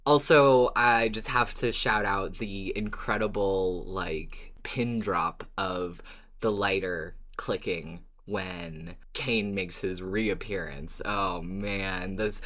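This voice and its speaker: A woman with a very low-pitched voice hosts a casual fan podcast. She talks with keen enthusiasm and leans hard on words for emphasis. Her language is relaxed and sometimes sweary. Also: slow. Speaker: podcast host.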